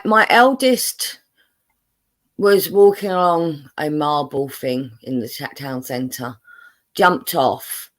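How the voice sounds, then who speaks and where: A woman's voice: rumbling low, fairly bright tone. An English woman hosting a live stream, reading out viewer messages in a rambling, chatty way with lots of fillers and frequent laughs. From England, born in London, raised in Buckinghamshire.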